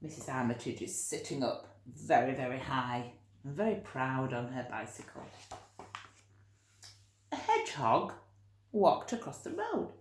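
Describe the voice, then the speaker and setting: A woman reading a picture book aloud to young children, expressive, with chatty asides and gentle explanations. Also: a bass voice, measured pace, pauses often. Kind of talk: animated read-aloud storytelling with chatty asides. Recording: roomy, echoey room sound.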